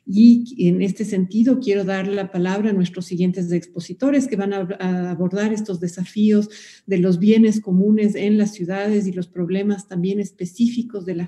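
A woman moderates a conference panel in a calm, measured way. Her voice is very dark and mellow, at a middle pitch. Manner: measured, handing the floor to others.

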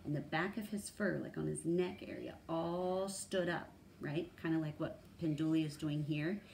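A woman in her thirties with a low, creaky voice reads a story aloud for children. She steps out of the text to explain and reason through it in an instructional way. There is faint noise behind her in a room at home. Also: normal speed, a little mellow. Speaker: read-aloud teacher. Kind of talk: animated children's story reading with word explanations. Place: small room at home, indoors.

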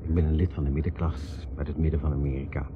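A man's voice: extremely low pitch, little pitch movement, fast. An actor playing an earnest, persuasive man in a radio drama, in a conversational way. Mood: earnest, reflective. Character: Ted, earnest persuasive middle-class American man. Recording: noisy, muffled old radio drama recording.

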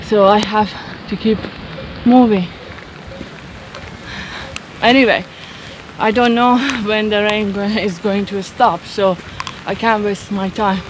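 Slow, enthusiastic woman vlogging on a trail. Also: medium pitch, steady tone, noisy background. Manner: enthusiastic, exclaims at views. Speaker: travel vlogger. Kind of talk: casual vlog narration while hiking. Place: outdoors on a mountain trail.

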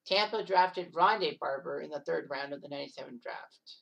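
A woman in her thirties narrating sports history casually, rambling with offhand asides and quick self-corrections. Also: deep voice, gravelly, a bit bright, far from the mic, dull audio. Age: 30s.

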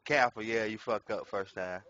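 A man's voice: fairly low pitch, moderate pace, bright, forceful tone, muffled recording. A man casually commenting on his gameplay in a slangy, profane way, laughing often. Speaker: gameplay streamer.

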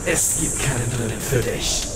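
A man playing a sinister demon that has taken over a young man's body, talking in a cold, taunting way, in an amateur audio drama with loud background noise. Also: medium pitch, moderate pace, lightly acted. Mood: mocking. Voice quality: cold, taunting, sometimes whispering. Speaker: villain.

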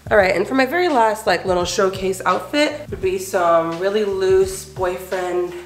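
A woman's voice: medium pitch, normal speed, a little hiss or hum. A young woman in her twenties making a casual, chatty crafting vlog at home, enthusiastic and full of fillers. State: head cold, nasal. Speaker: crochet vlogger. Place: bedroom and living room at home.

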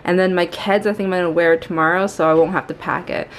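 A woman's voice: lowish voice, steady tone, fast talker, background noise. A young woman from Montreal in her twenties, chatting casually and cheerfully to a handheld camera in her bedroom as a lifestyle vlogger. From Montreal, Canada. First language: English. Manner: chatty and upbeat, laughs often. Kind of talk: casual vlog chat to camera. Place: small bedroom at home. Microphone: handheld camera at arm's length.

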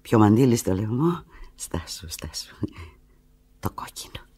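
A husky, desperate lovelorn woman. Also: very deep voice, sing-song, full character voice. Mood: wistful, intimate. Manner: halting, emotional, swinging from hushed murmurs to urgent cries. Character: desperate, lovelorn woman abandoned by her lover, on the telephone. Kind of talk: theatrical radio drama monologue.

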